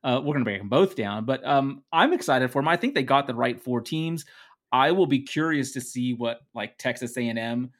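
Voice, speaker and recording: A man from the Netherlands, co-hosting a casual sports podcast, easygoing and quick to laugh. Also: medium pitch, lively intonation. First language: Dutch. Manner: easygoing, laughs often, repeats words. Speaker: co-host.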